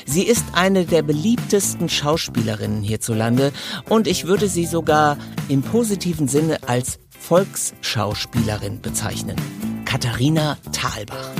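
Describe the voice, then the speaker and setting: A man's voice: a little high, vocal fry. A man hosting a podcast in a casual, chatty and cheerful way, addressing guest and listeners. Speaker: podcast host, interviewer.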